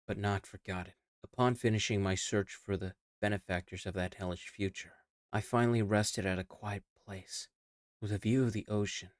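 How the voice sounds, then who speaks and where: A man narrating a scripted first-person audio log in a measured, somber storytelling manner. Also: on the low side, no echo, clean studio sound. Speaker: VTuber narrating his character's lore.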